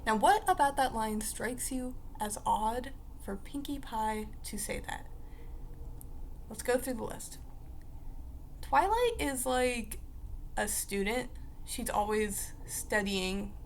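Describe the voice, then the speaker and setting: Raspy, just-woken young woman. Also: a little high, expressive, slow pace, a little hiss or hum. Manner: rambling, chatty, full of fillers like "like" and "uh". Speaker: vlogger. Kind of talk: casual talk to camera.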